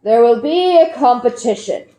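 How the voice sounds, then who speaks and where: A woman's voice: on the high side, lively intonation, dark tone, lightly acted. Woman as a solemn village elder, echoey. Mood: grave, formal. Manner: slow, solemn, deliberate. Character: grave senior village Seeker, a man making an announcement.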